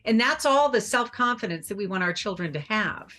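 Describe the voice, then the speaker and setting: A middle-aged woman appearing as a parenting expert on a TV talk show, explaining her advice calmly and clearly. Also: medium pitch, fairly quick, faint music behind. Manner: calm, explanatory, warm advice-giving.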